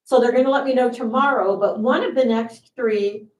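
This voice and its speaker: A woman's voice: a little high, moderate pace, lo-fi sound. A woman pastor, giving casual announcements and opening worship in a church sanctuary. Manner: conversational, relaxed with fillers. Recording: roomy church sanctuary from a distance.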